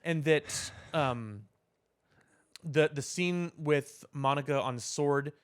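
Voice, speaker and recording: A man's voice: fairly high pitch, slow pace. A man co-hosting a podcast in casual discussion, explaining his thoughts as he reasons them out aloud. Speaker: podcast co-host.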